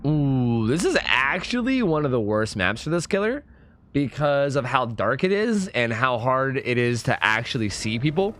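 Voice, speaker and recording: A young man with a hoarse, partly lost voice, chatting casually as a gaming YouTuber explaining his build. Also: medium pitch, sing-song, a bit bright, slight background noise. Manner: chatty, fast and filler-heavy. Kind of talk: casual gameplay commentary.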